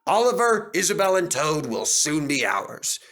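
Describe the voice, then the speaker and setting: A man voicing a genial, authoritative gentleman railway director in a children's storybook, measured and stately. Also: fairly high pitch, big pitch swings. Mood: pleased.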